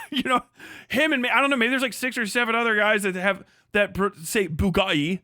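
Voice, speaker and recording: A man's voice: high-pitched, fairly even intonation, brisk, bright, forceful tone, dry recording, clean studio sound. A man hosting a livestream, delivering a profane, mocking commentary rant and laughing often. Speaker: livestream host.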